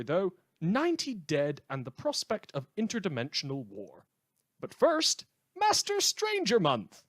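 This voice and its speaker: A man's voice: on the high side, no echo. A man playing a wry, opinionated community radio host in an audio drama, reading scripted news with a theatrical, dryly ironic delivery. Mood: indignant, earnest.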